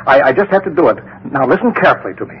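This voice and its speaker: Anxious, husky-voiced man, vintage radio drama. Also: on the high side, big pitch swings, racing, heavily acted. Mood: anxious, pleading. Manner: flustered, stammering. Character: anxious, pleading, well-meaning man close to the medium. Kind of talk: 1950s radio acting. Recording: dull vintage 1950s radio recording.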